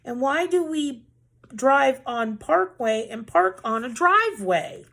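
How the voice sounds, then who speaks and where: A woman's voice: high-pitched, lively intonation, slow, fairly bright tone, full character voice. Quirky, questioning male schoolteacher read by a woman. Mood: puzzled, insistent. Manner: questioning, emphatic, rhetorical. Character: quirky male schoolteacher puzzling over the English language.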